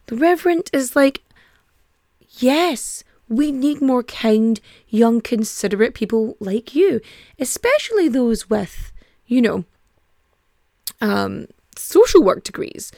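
Young woman, lively sweary true-crime narrator, higher voice, dry close sound. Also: no echo. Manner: animated, sweary, acts out quotes in mock voices. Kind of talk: casual storytelling.

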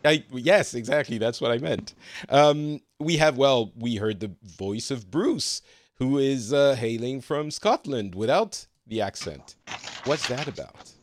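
A man's voice: medium pitch, expressive, a little slow, no room sound, clean studio sound. A man from France hosting a casual podcast panel, teasing his guests and laughing often. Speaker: podcast host.

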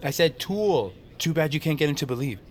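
A man's voice: fairly high pitch, animated, fairly quick, noisy background, natural voice. An actor playing an easygoing male workmate who banters casually and playfully in an audio drama.

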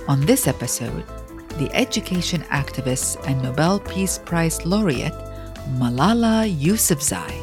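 A woman hosting a storytelling podcast, reading scripted narration for children in an expressive, deliberate way with dramatic pauses. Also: low-pitched.

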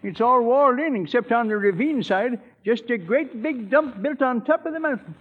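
An actor in an old radio drama playing a talkative, rustic elderly small-town handyman with a high old-timer voice. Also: lively intonation, moderate pace. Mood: chatty. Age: older. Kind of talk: 1940s radio acting. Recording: muffled, tinny 1940s radio recording.

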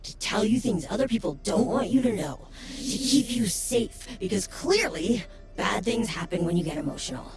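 A woman's voice: medium pitch, lively intonation, normal speed, close to an everyday voice. A woman acting in an audio drama as a secretive, controlling confidante who coaxes and instructs. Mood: coaxing, controlling. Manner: coaxing, instructing. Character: secretive protective female confidante, controlling and persuasive. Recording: loud music underneath. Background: loud music.

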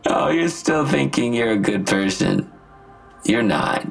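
Smug creepy vigilante bad guy in an audio drama, super raspy n gravelly, deliberate and taunting. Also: medium pitch, lively intonation, soft background music, heavily acted. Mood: menacing, taunting. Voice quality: gravelly, husky. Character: smug menacing vigilante villain, self-righteous man, chillingly articulate. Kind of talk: full-cast audio drama acting.

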